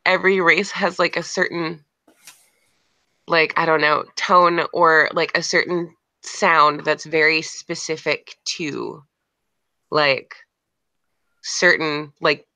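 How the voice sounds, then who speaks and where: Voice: medium pitch, fairly even intonation, slow, pauses often, sharp tone, dry recording. A person in casual chat, hesitant and full of "like" fillers.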